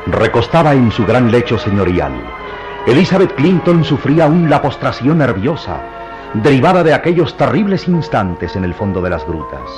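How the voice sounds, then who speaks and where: A man narrating a mystery radio drama in a grave, deliberate, literary style. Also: medium pitch, very animated, music in the background, natural voice. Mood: solemn, dramatic. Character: solemn male narrator of a mystery serial.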